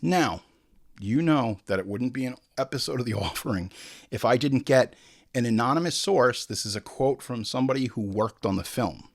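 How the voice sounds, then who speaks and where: A man hosts a comedic film commentary show with a very lively, swinging delivery. His voice sits at a middling pitch, and he talks irreverently, swearing freely and wandering off into tangents and mimicry. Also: moderate pace, vocal fry. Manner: irreverent, sweary, digressive, mimics people in asides.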